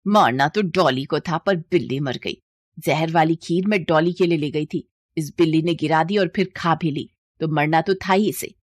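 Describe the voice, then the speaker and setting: A woman's voice: low-pitched, normal speed, dry recording. A woman playing a greedy, two-faced aunt in a moral story, theatrical and scheming. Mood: scheming, annoyed.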